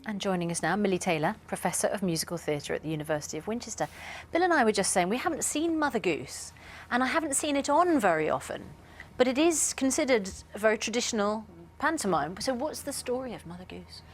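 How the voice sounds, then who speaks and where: A woman's voice: medium pitch, animated, fast, a little hiss or hum. A woman presenting breakfast television, interviewing a guest in a conversational style.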